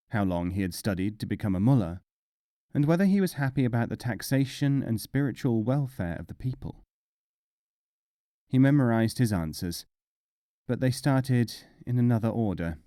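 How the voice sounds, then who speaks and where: A man reading humorous folk tales as a scripted storyteller, in a measured, expressive way. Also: medium pitch, no room sound, studio quality. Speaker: audiobook narrator.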